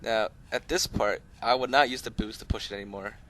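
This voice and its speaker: Bright-voiced low-pitched man casually commentating. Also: a little fast. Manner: rambling, conversational, easygoing. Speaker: host of a gaming video channel. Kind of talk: casual unscripted gameplay commentary, tutorial.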